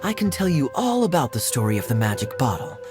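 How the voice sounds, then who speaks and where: A man voices a suave young adventurer in a video game. He is wry and teasing, with a mid-pitched voice that swoops widely as he speaks, music clearly behind him. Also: big pitch swings, quick pace, lightly acted. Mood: playful, reassuring. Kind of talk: video game cutscene acting. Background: background music.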